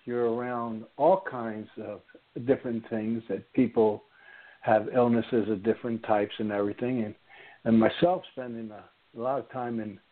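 A man's voice: mid-range voice, fairly even intonation, normal speed, narrow, tinny audio. Man in his sixties hosting radio. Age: 60s. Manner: rambling, chatty, with many fillers. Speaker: radio host.

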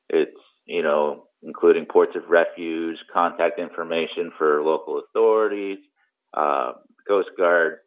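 A man explaining things informally as an interview guest, in a conversational way, checking in with the listener now and then. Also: very deep voice. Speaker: guest, commercial mariner and boating trip planner. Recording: muffled narrow-band audio.

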